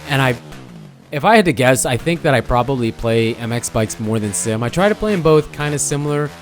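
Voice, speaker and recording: A young man with a hoarse, fading voice, chatting casually as he comments on his own gameplay, often stopping to correct himself. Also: medium pitch, expressive, brisk, soft background music. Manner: rambling, self-correcting, chatty. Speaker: gaming channel host.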